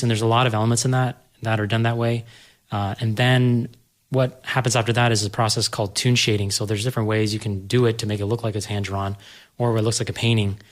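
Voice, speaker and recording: A man explaining and discussing film in a casual panel conversation. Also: fairly low pitch. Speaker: panel guest explaining animation.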